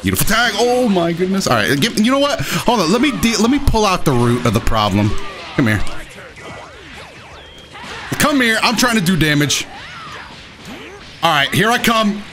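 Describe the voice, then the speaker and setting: A man giving casual, excitable commentary while he plays a video game, with playful dramatic flourishes. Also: sits high, moderate pace, long pauses, music in the background. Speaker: gaming video host and player. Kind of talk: casual live gameplay commentary.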